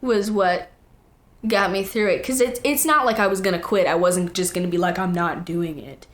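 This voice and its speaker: A teenage young woman, a guest singer, telling stories in casual, animated chat full of quoted asides. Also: mid-range voice, normal speed.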